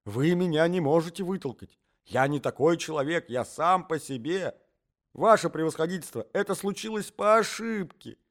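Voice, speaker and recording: A man's voice: a little high, lively intonation, moderate pace, darkish tone, natural voice. A man playing a hapless, flustered fellow in a story who pleads obsequiously and babbles in a whine. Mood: pleading.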